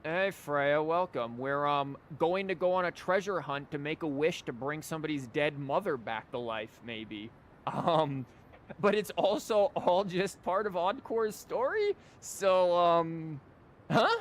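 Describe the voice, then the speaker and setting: A man's voice: on the high side, lively intonation, a little slow. A man doing casual live game commentary as a streamer, rambling and wry. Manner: wry, rambling, mock-quoting. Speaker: game livestreamer.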